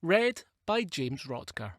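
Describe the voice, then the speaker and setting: A man's voice: a little high, sing-song, slow pace, fairly bright tone, a little hiss or hum. A male actor narrating an audio play as a dramatic storyteller.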